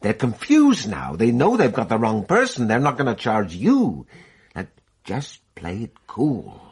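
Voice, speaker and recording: An actor playing a protective, knowing man confiding intimately in a radio mystery drama, with a gravelly, breathy voice. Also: mid-range voice, wildly swooping pitch, a little slow, darkish tone, full character voice. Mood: reassuring, urgent.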